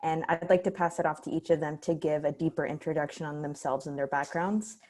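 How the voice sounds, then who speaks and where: Woman, panel moderator, deep voice for a woman, upbeat, video call. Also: fairly even intonation, brisk. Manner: upbeat, warm introductions. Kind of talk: hosting a panel.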